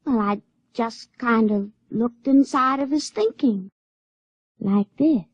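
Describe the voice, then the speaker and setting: High-voiced, folksy country girl. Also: slow, breathy edge, no room sound. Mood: innocent, matter-of-fact. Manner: folksy rural speech. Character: naive country young woman with uncanny powers, lovelorn, spirited. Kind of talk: radio drama acting. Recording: dry, dull radio-drama recording.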